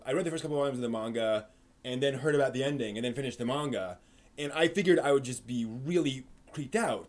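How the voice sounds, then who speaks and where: A man hosting a live stream, talking through his thoughts casually with many restarts and fillers. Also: mid-range voice. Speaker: host of an anime live stream. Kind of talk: casual discussion answering chat.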